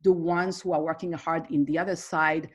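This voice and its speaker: Woman from Morocco, very low voice, earnest, hesitant, video call panelist. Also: little pitch movement, moderate pace, husky. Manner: earnest, hesitant, many fillers. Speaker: therapist and nonprofit panelist.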